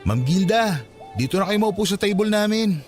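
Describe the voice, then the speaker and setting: A very high-pitched, defensive Filipino husband. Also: male voice, expressive, normal speed, soft background music. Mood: ingratiating. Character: defensive husband, ingratiating to his boss, scolding his wife. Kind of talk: Filipino radio drama acting.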